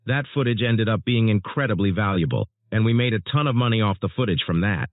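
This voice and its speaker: A man's voice: on the low side, expressive, quick pace, no echo, natural voice. A man playing a sober, earnest storm chaser giving scripted interview answers. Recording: muffled narrowband phone-like audio.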